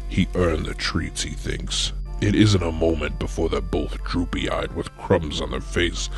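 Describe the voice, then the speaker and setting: Deep, gravelly male story narrator. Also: a bit bright. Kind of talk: comedic scripted story narration.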